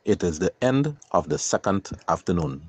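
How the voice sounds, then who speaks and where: Calm man with a lively low voice. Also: slightly rough, no room sound. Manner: calm, measured, explanatory. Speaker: kayaker and channel host. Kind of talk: scripted voice-over narration.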